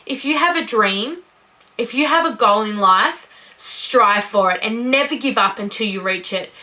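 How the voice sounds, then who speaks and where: Bright, upbeat young Australian woman. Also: a little high. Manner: upbeat, gushing, says "incredible" and "you know" often. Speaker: vlogger. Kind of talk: casual talk to camera.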